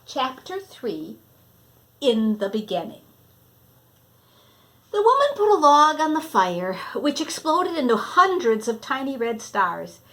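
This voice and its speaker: A woman reading a children's story aloud expressively, with deliberate phrasing that sometimes drops to a hush. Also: high voice, sing-song. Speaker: storybook reader.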